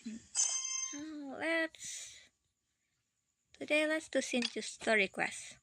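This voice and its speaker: A woman's voice: sits high, takes it slowly. A woman game streamer chatting casually with her viewers, stumbling and repeating words as she plays. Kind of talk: casual live-stream chat. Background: loud game music.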